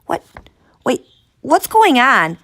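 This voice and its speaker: A woman's voice: high voice, huge pitch swings, slow pace, dry recording, clean studio sound, voice pushed up, exaggerated voice. A woman voicing a feisty young girl who cries out and demands answers. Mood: insistent. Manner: loud and insistent.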